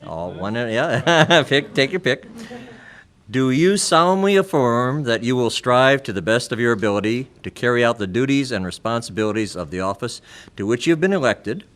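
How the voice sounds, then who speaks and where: Male election chairman at a club meeting, medium pitch, jocular and halting, informal ceremonial announcements. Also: animated, a bit bright.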